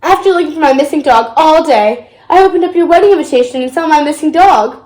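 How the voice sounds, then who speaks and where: A woman's voice: sits high, animated, fast, a little mellow, roomy sound, pitched above the performer's own voice. A female reader voicing a blunt, cross young girl in a picture book read aloud. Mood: indignant. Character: cross, blunt young girl who lost her dog.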